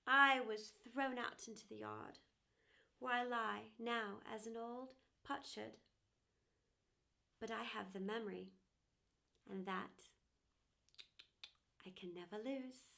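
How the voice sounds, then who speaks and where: Woman as a high-voiced proud storybook teapot. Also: fairly even intonation, unhurried, creaky, pushed and bright, heavily acted. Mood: wistful. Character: proud, self-important porcelain teapot, later humbled and wistful. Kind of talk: children's storybook character narration.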